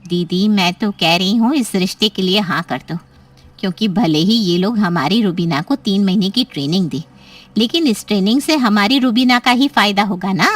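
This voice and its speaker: A woman's voice: medium pitch, moderate pace, no room sound, far from the mic, lightly acted. A woman playing a reassuring, persuasive middle-aged aunt in story dialogue, emphatic and coaxing.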